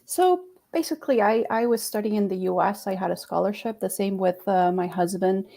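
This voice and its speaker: A woman's voice: mid-range voice, brisk, creaky, dark tone. A woman from Costa Rica, a panel guest, telling her story over a video call with occasional repeated words. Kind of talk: casual storytelling on a live web panel.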